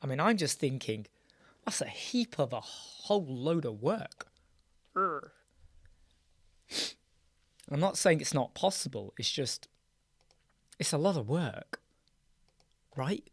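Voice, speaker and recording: A hoarse, croaky British man hosting a casual live chat, greeting viewers by name and trailing off into restarts. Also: fairly high pitch, sing-song, slow, long pauses, no echo, studio quality. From United Kingdom. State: hoarse, croaky, clearing his throat. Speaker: live chat show host. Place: small dark room with soundproof panels.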